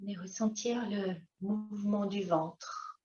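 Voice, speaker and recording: Woman yoga teacher talking thru breathing, gentle w long pauses, roomy echo and kinda dull sound. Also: mid-range voice, steady tone. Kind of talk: guided yoga breathing instruction. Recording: echoey room, slightly dull sound.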